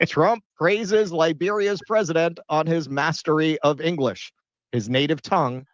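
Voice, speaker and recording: A man runs through a news summary and slips in dry, mocking remarks. His voice is mid-pitched. The recording is dry and dull, with a lo-fi sound. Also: measured pace, no echo. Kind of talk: read news summary with sarcastic commentary.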